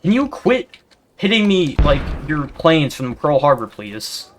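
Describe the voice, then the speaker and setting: A man giving rambling, monotone commentary over gameplay, full of fillers and restarts, as a gaming video creator. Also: on the high side, unhurried. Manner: rambling, self-described yapper with many fillers and restarts. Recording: steady background noise.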